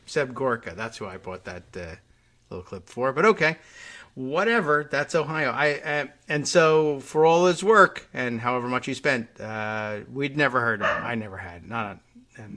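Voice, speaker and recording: A man's voice: medium pitch, pushed and bright. A man hosting a casual political talk show, reacting wryly and chatting. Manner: wry, interjecting reactions. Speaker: show host.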